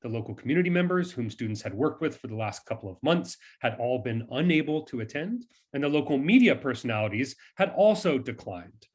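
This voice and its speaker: A man with a somewhat rough, husky voice at a medium pitch reads a prepared presentation. He narrates like a university lecturer telling a story, formal and measured in his phrasing. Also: sing-song.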